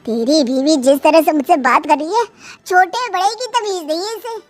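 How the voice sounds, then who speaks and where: A woman's voice: very high-pitched, moderate pace, soft background music. A woman voicing a rude, domineering middle-aged uncle-in-law who scolds with contempt. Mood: contemptuous.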